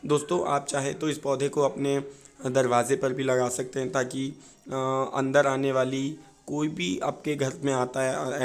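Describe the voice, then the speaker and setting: A man with a hoarse, sore-throated voice, explaining things informally and a little haltingly as a gardening video presenter. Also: mid-range voice. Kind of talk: informal explanatory talk to viewers.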